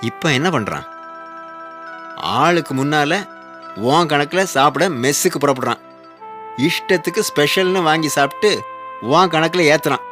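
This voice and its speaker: A man's voice: a little high, normal speed, long pauses, natural voice. An actor playing a frank, worldly-wise older male neighbour who scolds out of care, in a Tamil radio drama. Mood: admonishing. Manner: admonishing, rhetorical questioning. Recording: music behind the voice.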